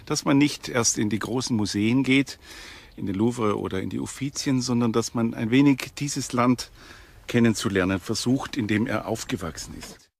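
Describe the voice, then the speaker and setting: Male professor explaining, medium-pitched voice. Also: normal speed, gravelly. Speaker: professor, expert interviewee. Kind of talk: explanatory documentary interview.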